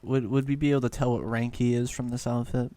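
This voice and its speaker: A man's voice: mid-range voice, level tone, quick pace, dark tone, dry recording. A man chatting casually as a player in a tabletop game.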